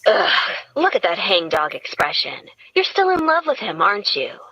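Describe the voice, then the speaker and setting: A woman's voice: mid-range voice, wildly swooping pitch, moderate pace, pushed and bright, husky, highs cut off, exaggerated voice. A woman voicing a brash, sardonic young woman with a cheerfully acerbic way of griping.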